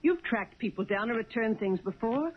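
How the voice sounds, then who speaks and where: A cautious, level-headed wife in a radio play, questioning and gently reproving. Her voice is a little husky, on a dull old broadcast. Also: a little high, animated, moderate pace. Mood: doubtful, reproving. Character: sensible, puzzled, cautious wife of a cabbie. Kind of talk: 1970s radio drama acting.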